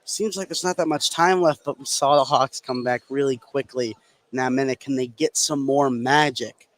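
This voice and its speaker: A man's voice: medium pitch, moderate pace, fairly bright tone. A man giving live color commentary and analysis at a basketball game. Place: indoor basketball gym. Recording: noisy live gym broadcast.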